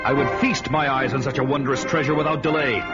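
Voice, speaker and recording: A man's voice: mid-range voice, steady tone, quick pace, close to an everyday voice. An actor playing a grim, bold barbarian warrior who tells his vengeance quest in a solemn, archaic, theatrical way for a fantasy radio drama. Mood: eager. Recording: dull radio recording with loud music.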